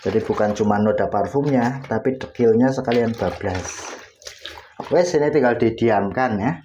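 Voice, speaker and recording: A man's voice: mid-range voice, normal speed, warm tone, lo-fi sound, slight background noise. A man, casually explaining step by step while working at home. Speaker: laundry tutorial host. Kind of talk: casual how-to demonstration. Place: home laundry area, indoors.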